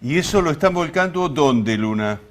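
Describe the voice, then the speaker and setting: A man's voice: fairly high pitch, lively intonation, normal speed. A man with an Argentine accent hosting an interview in wordy, somewhat formal phrases. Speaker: interview host. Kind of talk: conversational interviewing.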